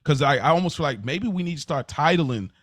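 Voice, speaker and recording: A man's voice: a little high, animated, fairly quick, no echo, studio quality. An American man chatting as a podcast co-host, informal and slangy, thinking things through aloud. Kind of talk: casual conversational podcast chat.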